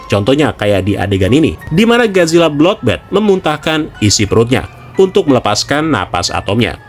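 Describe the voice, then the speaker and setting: Lively male explainer over music. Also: medium pitch. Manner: conversational, addresses viewers directly. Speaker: video narrator. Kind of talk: semi-casual scripted explainer narration. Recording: music behind the voice. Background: background music.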